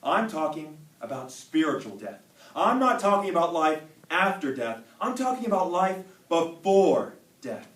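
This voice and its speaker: A man giving a dramatic staged reading, part storytelling and part preaching, with deliberate, emphatic phrasing. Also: a little high, very animated, fairly bright tone. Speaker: student performer reading a dramatic sermon. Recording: echoey hall, recorded from far off.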